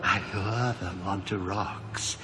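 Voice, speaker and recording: Menacing, mad British husband, drawn-out delivery. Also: male voice, deep voice, fairly even intonation, heavy background noise. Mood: gloating. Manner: slow, theatrical, gloating delivery. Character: menacing, mad, taunting husband in a ghost story. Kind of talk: 1990s British radio drama acting. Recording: loud music over the voice.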